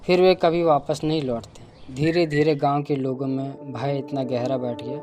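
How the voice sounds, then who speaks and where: A man's voice: mid-range voice, steady tone, moderate pace, over music. A man narrating a scripted cartoon folk tale as storyteller.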